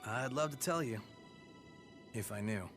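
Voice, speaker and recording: A man's voice: mid-range voice, lively intonation, unhurried, music in the background, lightly acted. Man acting an easygoing, jokey game fighter. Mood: relaxed, curious. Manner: casual, breezy, teasing. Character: easygoing, friendly young male fighter, jokey. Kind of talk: English video game dub acting.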